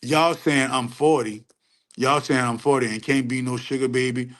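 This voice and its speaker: Casting: middle-aged man, mid pitch, preachy streamer, crude and emphatic, repeats himself. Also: steady tone, moderate pace, fairly bright tone. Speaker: livestream host answering chat. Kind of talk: casual unfiltered livestream rant.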